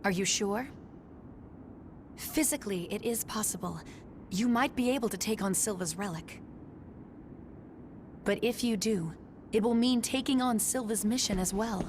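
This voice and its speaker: A female adviser in a video game cutscene, acted by a woman, with a medium-pitched voice. She is composed and solemn as she cautions, with noticeable noise in the background. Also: moderate pace, pauses often, close to an everyday voice. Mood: solemn warning. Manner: composed, serious.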